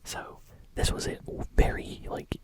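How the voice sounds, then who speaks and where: Whispering woman, ASMR gaming news host. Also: unhurried. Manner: enthusiastic, conversational, unscripted.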